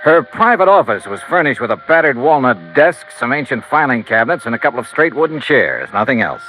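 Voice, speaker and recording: An actor playing a wry, unflappable insurance investigator in an old American radio drama, talking in a crisp, clipped way. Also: male voice, mid-range voice, very animated, brisk, bright, forceful tone, faint music behind. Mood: matter-of-fact. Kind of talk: 1950s American radio drama, first-person narration and dialogue. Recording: lo-fi vintage radio recording.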